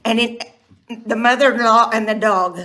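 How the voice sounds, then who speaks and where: A woman of ninety giving halting, heartfelt blessings in a casual family chat. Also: fairly high pitch, steady tone. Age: 90s. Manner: slow, halting, warm blessings. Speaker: guest.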